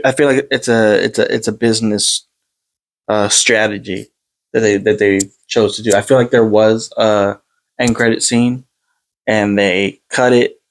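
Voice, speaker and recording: Man in his twenties, halting casual chat. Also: lowish voice. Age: 20s. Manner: halting, repeats words, swears casually. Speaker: podcast co-host.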